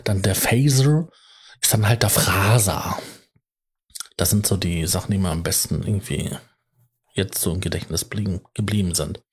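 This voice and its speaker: A man with a rather low, very husky voice talks off the cuff. He rambles in a halting, colloquial way, breaking off and picking up his thread again, with a self-deprecating manner. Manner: halting, self-interrupting, tangential. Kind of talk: casual rambling monologue.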